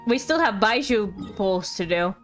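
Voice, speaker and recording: A woman's voice: mid-range voice, expressive, measured pace, fairly bright tone. A woman doing casual live game stream commentary, playful and rambling with mock-indignant asides. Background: game music.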